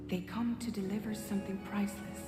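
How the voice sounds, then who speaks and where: A woman's voice: medium pitch, fairly even intonation, brisk, lightly acted. A woman playing a wistful, admiring character who delivers a video game monologue. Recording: echoey hall reverb under music.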